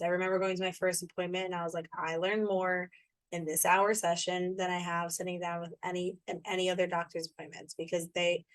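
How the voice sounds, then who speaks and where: A woman with a raspy voice, co-hosting a podcast and chatting agreeably with her guest. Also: fairly low pitch, no room sound, poor audio. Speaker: podcast co-host, yoga teacher. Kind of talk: casual chat.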